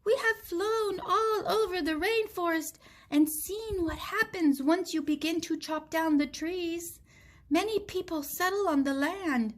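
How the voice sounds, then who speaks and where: A woman's voice: extremely high pitch, a little slow, pitched above the performer's own voice. A woman voicing an alarmed, scolding toucan from a children's storybook in a squawky voice. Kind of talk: children's storybook read-aloud.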